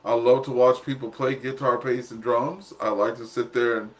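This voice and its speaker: A man's voice: mid-range voice, steady tone, normal speed. A man, chatty, jovial and self-deprecating, hosting a casual amateur radio net. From southern United States.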